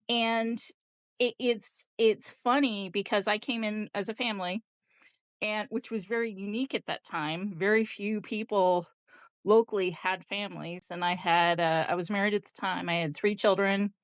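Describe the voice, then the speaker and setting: Woman, smooth clear tone, dry sound, casual podcast guest, halting thoughtful delivery. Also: medium pitch, fairly bright tone, no echo. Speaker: podcast guest, heathen hall member. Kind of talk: casual reflective group conversation.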